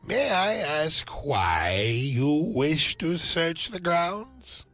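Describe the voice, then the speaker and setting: Slow, swooping cemetery keeper. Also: male voice, on the high side, very animated, fairly bright tone, faint music behind, exaggerated voice. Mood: obliging. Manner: theatrical, musing, drawn-out. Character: obliging, gossipy male cemetery keeper. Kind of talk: dramatic video game cutscene acting.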